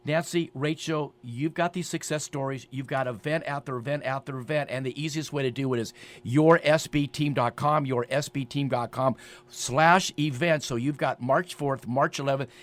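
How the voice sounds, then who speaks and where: A man's voice: mid-range voice, fairly even intonation, moderate pace, a bit bright. A man in his sixties hosting a promotional radio talk show, speaking emphatically and repeating phrases for effect. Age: 60s.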